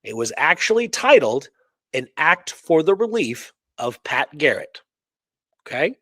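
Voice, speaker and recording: A man co-hosting a history talk show, discussing and reading out records in an emphatic, conversational way with halting asides. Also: a little high, big pitch swings, bright, forceful tone, no echo. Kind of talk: conversational history discussion with read-aloud documents.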